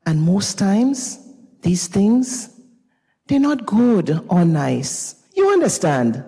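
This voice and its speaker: An old Grenadian mother, played by a woman on stage, wise and consoling. Her voice is husky and swings expressively as she takes her time over each phrase, ringing in a reverberant recital hall. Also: medium pitch, big pitch swings, slow pace, mellow, heavily acted. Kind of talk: dramatized stage reading of fiction.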